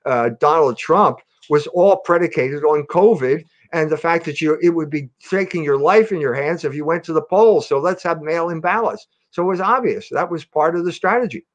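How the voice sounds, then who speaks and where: Higher-pitched man giving emphatic commentary. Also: moderate pace, few pauses. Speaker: commentator on a news talk show. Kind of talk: conversational political commentary.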